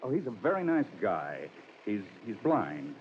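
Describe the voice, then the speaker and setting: An actor playing an earnest, helpful expedition assistant, explaining things politely and plainly in 1940s radio style. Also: male voice, lowish voice, sing-song, measured pace. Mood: earnest, explanatory. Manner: explanatory, polite, matter-of-fact. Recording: muffled old radio, noisy aircraft ambience.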